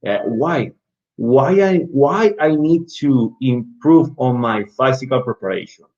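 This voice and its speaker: A man's voice: mid-range voice, animated, a little slow, a little mellow, lo-fi sound. A man with a Latin American Spanish accent giving an informal talk to referees over a video call, with many restarts, asking and answering his own questions. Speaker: basketball referee speaker in an online session.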